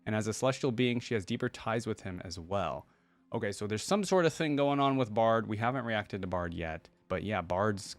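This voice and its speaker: A man's voice: on the low side, dry recording. A man hosting a reaction video, chatting informally to his viewers in casual commentary.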